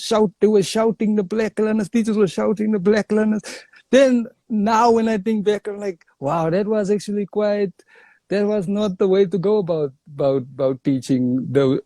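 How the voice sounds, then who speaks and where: A young South African comedian in casual interview chat, telling stories in a lively way and saying "bruh". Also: male voice, sits high, no echo. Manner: animated storyteller, says "bruh". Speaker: comedian guest.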